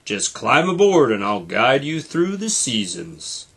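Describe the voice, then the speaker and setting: A man's voice: medium pitch, very animated, a little slow, gravelly, a bit bright, breathy edge, exaggerated voice. A man voicing a helpful, knowledgeable talking airplane radio that acts as a guide in a children's storybook. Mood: brisk, inviting.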